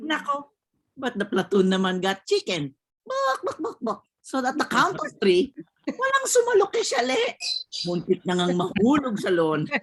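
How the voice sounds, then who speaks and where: Husky, animated middle-aged Filipino woman. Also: sits high, normal speed. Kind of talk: reminiscing at a table read. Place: online video call. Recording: video call.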